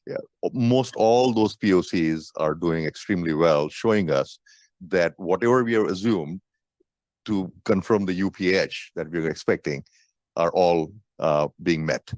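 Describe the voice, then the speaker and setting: A man speaking as a company executive, giving an update on an earnings call in a deliberate, emphatic, repetitive way. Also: sits low, a little mellow, no room sound.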